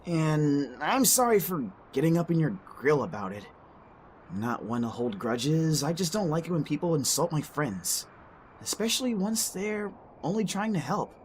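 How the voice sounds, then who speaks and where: Dude voicing a loyal excitable young guy, super lively, casual slang, bit of noise behind him. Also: fairly high pitch, moderate pace. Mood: friendly, teasing. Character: friendly, excitable young man loyal to his friends. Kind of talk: amateur audio drama acting.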